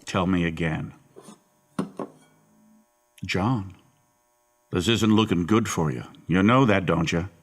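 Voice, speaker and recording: A man playing a stern, condescending interrogator in an audio drama, needling and pressing insistently with menace. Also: deep voice. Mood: coolly pressing. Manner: deliberate, needling, repeatedly presses.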